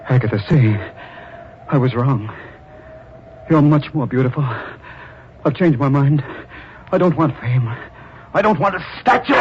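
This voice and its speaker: Intense, wildly swinging obsessed killer sculptor. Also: male voice, on the high side, noisy background, exaggerated voice. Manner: intense, theatrical, menacing. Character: obsessed, jealous, murderous sculptor, a jilted former husband. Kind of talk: vintage radio horror acting. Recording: muffled old radio recording, music behind.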